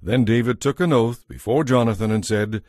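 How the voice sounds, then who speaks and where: A man's voice: medium pitch, normal speed, darkish tone, no echo, studio quality. A man narrating a dramatized audio Bible as scripted storytelling.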